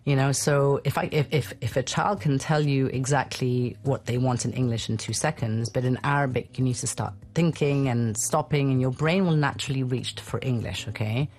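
A woman's voice: a bass voice, normal speed, hardly any pauses, a little mellow. Chatty reassuring woman guest. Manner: chatty and reassuring, with frequent restarts. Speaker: guest speaking on raising bilingual children. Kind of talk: radio talk show conversation.

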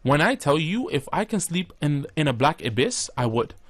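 A young man co-hosting a radio show, telling quick stories and jumping in with lively asides. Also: a little high, no echo. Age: young adult. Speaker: radio co-host. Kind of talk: casual radio chat.

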